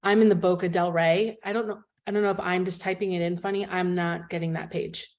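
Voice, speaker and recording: A woman member asking a quick, unsure question over a video call. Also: lowish voice, steady tone, darkish tone.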